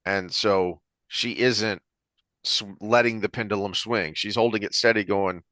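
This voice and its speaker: A man's voice: lowish voice, steady tone, a little slow, a bit bright, no echo. A man co-hosting a discussion podcast, talking conversationally in a thoughtful, explanatory way that wanders into side points. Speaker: podcast host discussing books.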